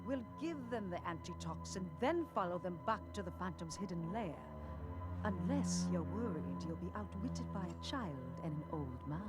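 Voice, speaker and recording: An actress playing a proud, predatory hunter villain in an action cartoon, haughty, menacing and taunting. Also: female voice, fairly low pitch, lively intonation, normal speed, natural voice. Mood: haughty scheming. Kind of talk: animated action cartoon acting. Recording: loud music behind.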